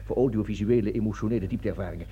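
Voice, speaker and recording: A man's voice: lowish voice, racing, warm tone, dull audio, a little hiss or hum, close to an everyday voice. Man playing a pompous, officious army colonel. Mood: apologetic. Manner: officious, long-winded military jargon. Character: pompous army colonel, servile to superiors, explosive with subordinates. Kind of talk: radio drama acting.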